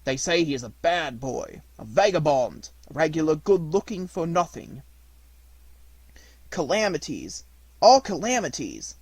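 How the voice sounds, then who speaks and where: A man's voice: on the high side, big pitch swings, measured pace, slightly rough, dry recording, full character voice. A man voicing a little old cottager in a storybook, blunt and emphatic but kindly. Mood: disapproving. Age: elderly.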